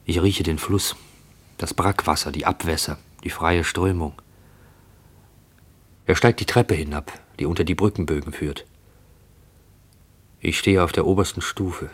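An actor playing a haunted, obsessed man who narrates his pursuit of a stranger in a measured, introspective radio play monologue. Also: low voice.

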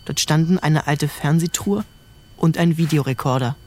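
An actor narrating an audio drama in the first person as a reflective youngest brother. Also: male voice, on the high side, normal speed, a little mellow, close to an everyday voice. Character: reflective youngest brother recalling a rough childhood.

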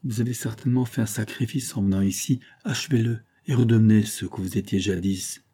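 A man's voice: fairly low pitch, steady tone, fairly quick, mellow, slightly rough. A man reading an audiobook, voicing a drunken, bitter glassmaker husband who bullies and wheedles, emphatic and sardonic. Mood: wheedling.

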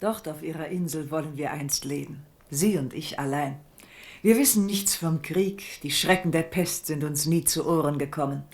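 A woman's voice: low-pitched, normal speed, darkish tone. An actress playing a devoted, motherly noble countess, speaking formally and poetically in a 1970s German radio drama. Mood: dreamy. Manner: formal, poetic, earnest address.

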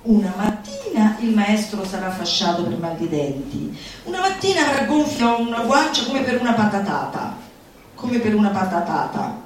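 A woman's voice: medium pitch, sing-song, soft background music, close to an everyday voice. An actress as a theatrical female orator in echoing hall. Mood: animated narrating. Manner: declamatory, exclamatory, drawn-out emphatic words. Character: manic, theatrical female futurist orator exalting laughter at suffering. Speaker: stage actress. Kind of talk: theatrical comic monologue before an audience. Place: roomy performance space, recorded from a distance. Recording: echoey hall, far mic, faint noise.

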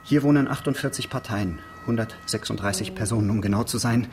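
A man's voice: on the low side, a little fast, darkish tone, slight background noise, lightly acted. An actor playing a fussy, eager busybody of a male neighbour in a radio crime drama, precise, hesitant and mildly pompous. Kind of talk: naturalistic German radio crime drama acting.

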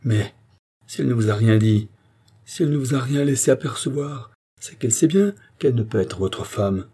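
Audiobook role: imperious, anguished queen, performed by a man, raised husky voice, breathless emphatic delivery. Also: mid-range voice, animated, moderate pace, warm tone, voice pushed up, natural voice. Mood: anguished outburst. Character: proud, jealous, anguished queen, imperious and feverish. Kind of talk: dramatic audiobook character acting.

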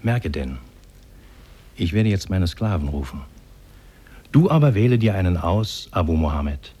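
An actor playing a benevolent demon in human form, speaking solemnly and formally in a 1950s German radio drama. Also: male voice, low-pitched, lively intonation, unhurried, mellow, slightly rough, exaggerated voice. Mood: grave, instructing. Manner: solemn, formal, archaic diction.

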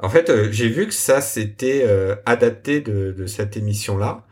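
A young adult man with a somewhat low voice talks through how he built his project. He hesitates a lot, filling gaps with small sounds and restarting his phrases. The tone is relaxed, like a casual interview. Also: normal speed. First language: French. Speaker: software developer guest.

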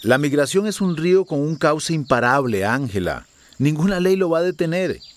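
An actor playing a cocky, corrupt small-town policeman in a radio drama, with a swaggering, smooth-talking delivery. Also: fairly high pitch, lively intonation, moderate pace, lightly acted. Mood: persuasive.

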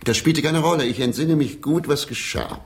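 An actor in a radio drama playing a sentimental, grandiloquent old stage player with a declamatory manner. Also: male voice, on the high side, moderate pace, close to an everyday voice. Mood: reminiscing, solemn.

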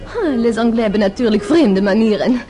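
A woman's voice: on the high side, animated, fairly quick, mellow, natural voice. A woman playing an elegant, haughty French-speaking lady in a radio play, formal and coolly polite, with a French accent. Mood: cool, offended. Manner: formal, cool, pointed politeness. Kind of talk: radio drama acting. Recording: music playing behind the voice.

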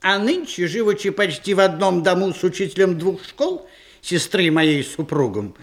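Pompous provincial scholar, man, high voice, pedantic, officious, theatrical radio play verse. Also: expressive, normal speed. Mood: self-important. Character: pompous, self-important provincial would-be scholar uncle.

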